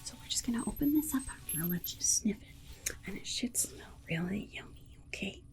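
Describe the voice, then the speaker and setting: A woman's voice: medium pitch, animated. Whispering woman as a soothing alien scientist. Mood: soothing, coddling. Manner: coddling, slow reassuring whisper with murmured asides. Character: soothing, condescending female alien scientist examining a human. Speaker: ASMR roleplay performer. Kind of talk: whispered ASMR medical-exam roleplay.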